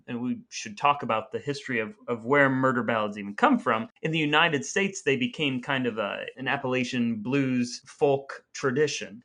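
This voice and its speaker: A man's voice: mid-range voice, a bit bright. A man co-hosting a podcast in a casual, explanatory way, chatting and sharing background with listeners. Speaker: podcast co-host. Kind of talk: casual chat with some reading aloud from an article.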